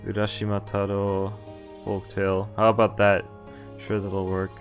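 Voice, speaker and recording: A man streaming a visual novel, reading it aloud and commenting casually in a halting way with offhand swearing. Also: on the low side, completely flat delivery, soft background music. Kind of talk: casual game walkthrough with read-aloud narration.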